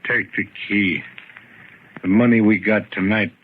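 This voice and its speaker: Male actor as sinister gangster revenant, deliberate slow delivery, coaxing threat, muffled vintage radio drama recording. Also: lowish voice, natural voice. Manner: slow, calm, coaxing menace. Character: menacing, vengeful gangster back from apparent death. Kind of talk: 1940s radio mystery acting. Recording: dull, noisy old radio recording.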